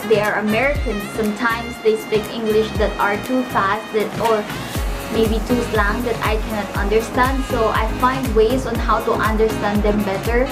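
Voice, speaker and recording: A woman's voice: a little high, steady tone, moderate pace. A young woman with a Filipino accent, telling her own work story in an earnest, chatty way, full of fillers. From Philippines. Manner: earnest, chatty, many fillers like "you know" and "like". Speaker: vlogger. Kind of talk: casual personal storytelling. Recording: music playing underneath.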